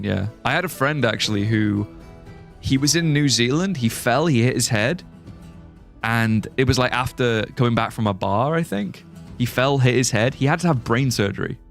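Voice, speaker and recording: A man's voice: medium pitch, vocal fry. A young British man chatting casually while streaming a game, rambling and restarting his sentences. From born in Singapore, raised British. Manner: rambling, restarts sentences, laughs at chat jokes. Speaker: livestreaming gamer. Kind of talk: casual chat while playing a game and reading chat.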